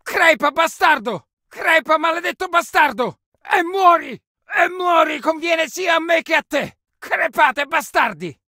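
An actor playing an aggressive infantryman in a war video game, shouting urgent, forceful battle lines in short exclamations. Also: very high voice, lively intonation, a little slow, no echo, clean studio sound, exaggerated voice. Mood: vicious, defiant.

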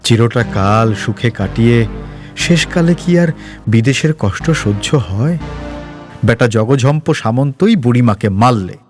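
A man's voice: mid-range voice, lively intonation, measured pace, darkish tone, lightly acted. Mournful village workmen, put on by a male storyteller. They lament in a wailing, gossipy, sympathetic way. Music sits behind the storybook voices.

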